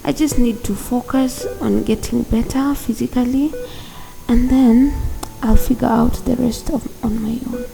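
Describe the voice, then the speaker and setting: Woman acting a troubled young student, creaky and mellow. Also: on the high side, fairly even intonation, a little slow, low-quality recording. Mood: defensive, stubborn. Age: young adult. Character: regretful, stubborn young female student in hospital. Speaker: actor in a radio drama. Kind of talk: radio drama acting.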